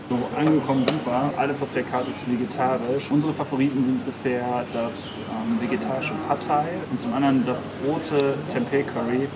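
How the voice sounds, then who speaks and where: Chatty young man, noisy street. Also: mid-range voice. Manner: chatty, eager to give tips, with fillers. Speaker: travel vlogger. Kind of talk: casual food vlog chat. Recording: loud street noise behind.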